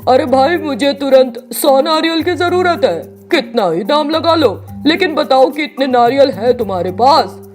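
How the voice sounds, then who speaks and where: A woman's voice: high-pitched, animated, normal speed, faint music behind, voice pushed up. A woman voicing a sly, pushy male swindler from a village, in a storybook tale. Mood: hurried. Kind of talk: storybook character voice.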